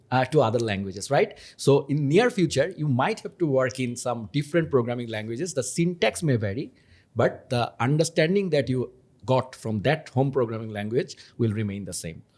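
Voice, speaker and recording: A man's voice: mid-range voice, moderate pace. Bangladeshi host echoing his guest, chatty. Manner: energetic, repeats guest's points, stumbles over words, laughs. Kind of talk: casual podcast conversation.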